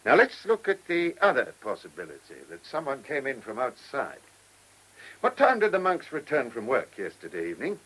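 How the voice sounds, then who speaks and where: A husky-voiced police inspector in a radio drama. He is shrewd and brisk, firing probing questions and orders with lively swings of pitch. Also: heavily acted, rough recording. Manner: probing, authoritative, clipped questioning. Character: shrewd, brisk male police chief inspector following hunches. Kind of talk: radio play acting.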